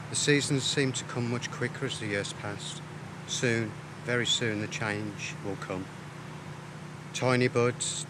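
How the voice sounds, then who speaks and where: A middle-aged man, a poet, reflecting on his life and reading his poems in a candid, plain-spoken, halting way. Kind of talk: frank personal reflection and poetry reading. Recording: noisy ambience, rumbling background noise.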